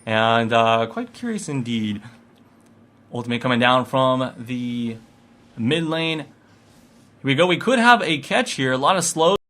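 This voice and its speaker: A man giving live play-by-play commentary on a video game match, animated and rising into excited calls. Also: medium pitch, measured pace, pauses often. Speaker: esports commentator.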